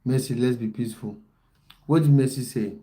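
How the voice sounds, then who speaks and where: Man, football coach hosting a livestream; mellow, relaxed tone, direct and repetitive with viewers. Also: mid-range voice, fairly quick. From Cameroon. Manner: repeats phrases, talks straight to viewers. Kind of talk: livestream chat, reading out viewer comments.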